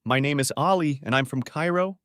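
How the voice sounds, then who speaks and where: A man teaching beginner English, reading short model sentences clearly and saying each one twice. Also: a little high, fairly bright tone, no room sound, clean studio sound. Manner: says each phrase twice, clearly and deliberately.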